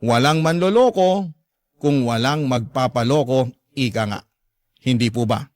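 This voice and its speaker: A man's voice: medium pitch, measured pace, darkish tone, no room sound, clean studio sound. A man with a Filipino accent narrating a first-person story as a radio host, in an earnest, confiding and polite way.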